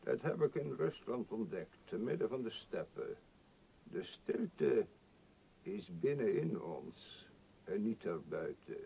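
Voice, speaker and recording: An actor playing a worldly, courteous vagabond who muses lyrically in a radio play, with a gravelly, husky voice. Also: male voice, medium pitch, very animated, mellow, a little hiss or hum, full character voice, poor audio. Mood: musing, lyrical. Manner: ruminative, lyrical, unhurried. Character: worldly, musing vagabond man, philosophical and courteous. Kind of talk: Dutch radio play acting.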